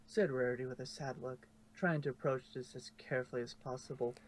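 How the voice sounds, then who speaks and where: A man's voice: medium pitch, fairly even intonation, brisk. A man reading scripted fan fiction narration, sometimes stumbling and correcting himself.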